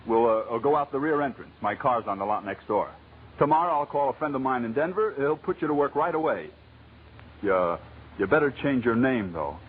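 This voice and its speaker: A man's voice: medium pitch, expressive, brisk, breathy edge. An actor playing a protective, kindly but tough man in an old-time radio crime drama, talking firmly and informally. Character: protective, plain-spoken male friend, tough but kindly. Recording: muffled, tinny vintage radio recording.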